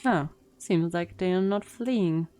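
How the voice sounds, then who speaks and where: A woman's voice: on the low side, slow pace, mellow. A woman streaming a game with casual, playful, teasing live reaction commentary. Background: game audio and music.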